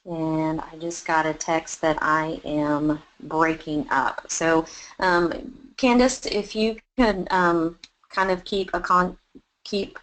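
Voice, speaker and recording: Woman, Texan accent, webinar host, lowish voice, chatty, encouraging. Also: steady tone, slow, a bit bright, slight background noise, low-quality recording. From Texas. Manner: chatty, encouraging, loose with fillers. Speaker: chef hosting a webinar. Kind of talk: webinar presentation.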